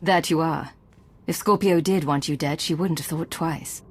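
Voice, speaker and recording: A woman's voice: low voice, moderate pace, breathy edge. An actress playing a composed, shrewd female agent and commander in a video game, with a controlled, dry delivery. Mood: dry, guarded. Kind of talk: scripted video game acting.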